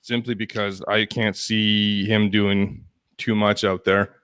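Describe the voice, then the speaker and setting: A young man co-hosting a live fantasy football show, running the segments in a brisk, teasing way. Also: lowish voice, flat delivery, a bit bright, smooth, no echo. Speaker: co-host. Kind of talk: casual fantasy football chat.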